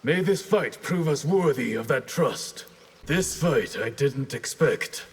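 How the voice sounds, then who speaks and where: An actor playing an honourable, determined ninja warrior in a video game, earnest and formal. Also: male voice, medium pitch, lively intonation, slow pace, slightly rough, exaggerated voice. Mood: earnest, resolute. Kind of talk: video game character dialogue. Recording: loud noise behind the voice.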